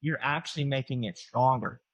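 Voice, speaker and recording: A man's voice: mid-range voice, normal speed. A man in his forties with a Southern US accent, a chiropractor talking casually on a podcast, full of fillers and acted-out patient quotes. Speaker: chiropractor guest. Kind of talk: explanatory podcast conversation.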